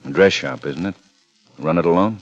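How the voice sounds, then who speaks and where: An actor playing a calm police lieutenant in a 1950s radio drama, questioning with casual, deliberate pauses. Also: male voice, sits low. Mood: probing. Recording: dull vintage radio, faint music.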